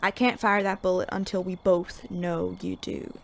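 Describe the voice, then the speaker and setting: A woman's voice: on the low side, steady tone, normal speed, creaky, a little hiss or hum. A woman playing a weary, sardonic veteran male sheriff in a Western audio drama, with an American accent and a dry folksy drawl. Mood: earnest, firm.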